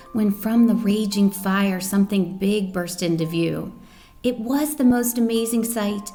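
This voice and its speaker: A woman reading a picture book aloud to children as a storyteller, with an expressive, dramatic manner. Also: fairly high pitch, gravelly. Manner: expressive, dramatic reading with questions to young listeners. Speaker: volunteer storyteller.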